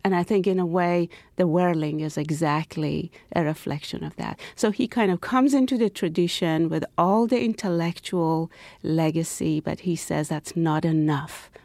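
Woman from iran, kinda low voice, talks expressively and whispers the key bits, dry close sound. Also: normal speed, no echo. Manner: expressive, drops to whispers for emphasis. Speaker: guest, professor and poet. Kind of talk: interview conversation.

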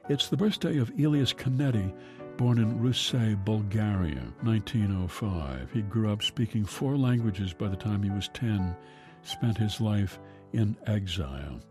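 A man hosting a radio segment, reading an almanac and a poem in a calm, measured way with slow drawn-out line endings. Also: on the low side, music in the background. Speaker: radio host.

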